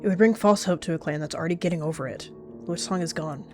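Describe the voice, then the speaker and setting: A woman voicing a secretive she-cat warrior who speaks in a tense, hushed, defensive way. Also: on the low side, fast, faint music behind, natural voice. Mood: cold.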